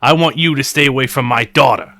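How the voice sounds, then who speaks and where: A man's voice: mid-range voice, brisk, no room sound, studio quality, lightly acted. An actor playing an angry father who threatens a man to stay away from his daughter. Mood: threatening. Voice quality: loud, forceful.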